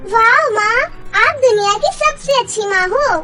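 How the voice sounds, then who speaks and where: A woman's voice: very high-pitched, measured pace, over music, pitched above the performer's own voice. A woman voicing a sweet, innocent little girl in a cartoon fairy tale. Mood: delighted.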